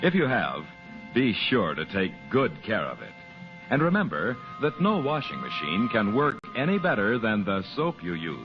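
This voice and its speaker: A man's voice: lowish voice, wildly swooping pitch, normal speed. A man announcing in scripted old-time radio style, formal and emphatic, like a sponsor's salesman. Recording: dull old radio recording. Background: music.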